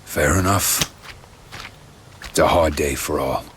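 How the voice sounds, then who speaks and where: A man's voice: extremely low pitch, slow pace, fairly bright tone, a little hiss or hum, exaggerated voice. An actor playing a king's blunt, hardened brother in a fantasy audio drama, with an informal way of talking. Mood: resigned.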